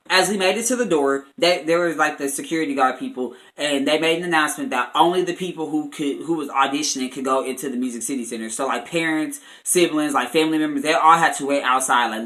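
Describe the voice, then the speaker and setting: A man's voice: mid-range voice, a bit bright. A young American man chatting casually as a vlogger, telling a story in a chatty way with slangy filler phrases.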